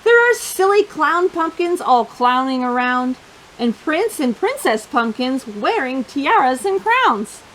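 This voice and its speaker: Animated, high-pitched woman reading to children. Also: unhurried, a little hiss or hum, rough recording. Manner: playful, sing-song, expressive, addressing children directly. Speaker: children's storytime host. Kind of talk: animated picture-book reading for young children.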